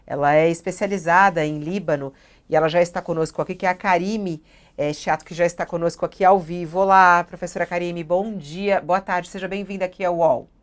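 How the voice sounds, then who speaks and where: A Brazilian woman with a fairly low voice hosts a live news show. She presents off the cuff, introducing and questioning in a conversational style. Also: steady tone, brisk. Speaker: news host.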